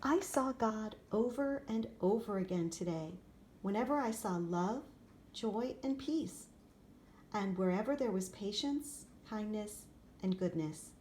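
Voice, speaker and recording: A woman reads a picture book aloud for children. Her voice sits at a medium pitch, and she delivers the story expressively in deliberate, separated phrases. There is clear ambient noise behind her. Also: lively intonation, mellow. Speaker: storybook reader.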